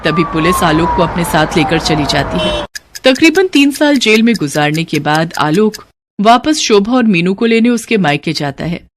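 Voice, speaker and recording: A female storyteller reads a scripted storybook tale. Her voice sits at an average pitch for a woman. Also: big pitch swings, moderate pace, slight background noise. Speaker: story narrator.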